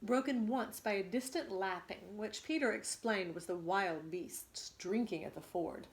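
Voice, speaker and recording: A woman teacher reading a story aloud expressively to students, pausing to ask them questions. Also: medium pitch.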